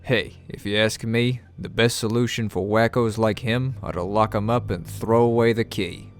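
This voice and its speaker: A cartoon special-forces captain, acted by a man, with a fairly low voice. He talks plainly and with total self-assurance, reassuring yet a little dismissive. Music plays softly underneath. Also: fairly even intonation, normal speed, close to an everyday voice. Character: confident male military captain, reassuring but dismissive. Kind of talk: animated film voice acting.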